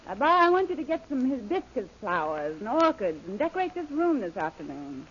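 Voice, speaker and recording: An actress playing a bossy, scheming femme fatale in an old radio serial, commanding and sly. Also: female voice, on the high side, sing-song, a little fast, a bit bright, husky, full character voice. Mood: brisk, imperious. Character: bossy, scheming siren villainess, beautiful and vain. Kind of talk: 1930s radio serial acting. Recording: noisy, muffled old-time radio recording.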